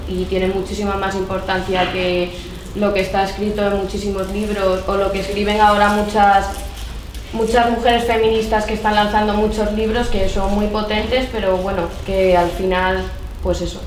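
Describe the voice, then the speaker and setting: A young woman speaks at a public event, her delivery flat and even, and she pads her speech with fillers. She sounds distant in an echoing hall. Faint noise sits behind her voice. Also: medium pitch. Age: young adult. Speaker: speaker at a debate. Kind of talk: unscripted public talk. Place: large echoey hall. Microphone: far from the microphone. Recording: echoey hall, distant mic.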